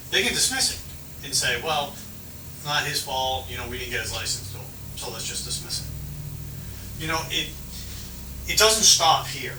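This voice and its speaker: A male police officer talking casually, explaining things in a conciliatory, conversational way. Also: medium pitch. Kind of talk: casual roadside explanation to a detained person. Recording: noisy, roomy, distant mic.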